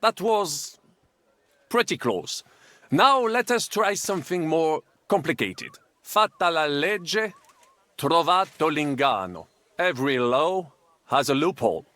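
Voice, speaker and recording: A suave foreign gentleman tutor in a video game. His voice is fairly high and very animated, with a courteous, instructive manner and a dry, close sound. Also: takes it slowly, no room sound, full character voice.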